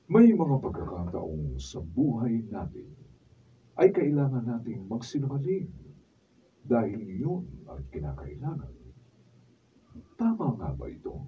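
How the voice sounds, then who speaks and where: A man playing a solemn, deliberate storyteller in a radio drama narration. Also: fairly low pitch, huge pitch swings. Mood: solemn, dramatic. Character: solemn male storyteller introducing a life story. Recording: dull, noisy, distant studio room sound.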